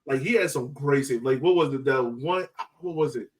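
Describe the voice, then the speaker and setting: A man chatting casually and excitedly in slangy speech as a soccer fan podcast co-host. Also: medium pitch, moderate pace. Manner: animated, slangy.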